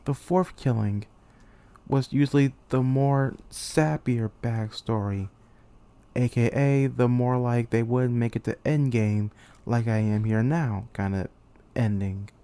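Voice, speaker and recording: A man playing a betrayed, despairing young military commander in a theatrical, halting anime-game monologue. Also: medium pitch, warm tone, clean tone. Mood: bitter resignation. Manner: theatrical, halting, anguished delivery. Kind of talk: dramatic monologue, anime-game fan voice reel.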